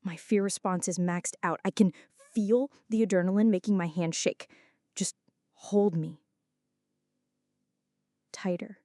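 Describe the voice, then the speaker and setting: Soft, mellow, intimate young-sounding female friend, acted by a woman. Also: mid-range voice, fairly even intonation, a little slow, pauses often, dry recording, close to an everyday voice. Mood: scared, pleading. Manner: soft, close, dramatic pleading and confiding. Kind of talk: intimate ASMR roleplay monologue.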